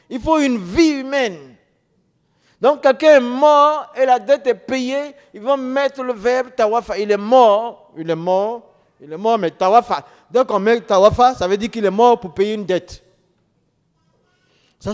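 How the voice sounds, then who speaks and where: A middle-aged man giving an emphatic testimony as a preacher, repeating words for stress. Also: mid-range voice. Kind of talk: sermon testimony. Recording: long reverb of a church hall.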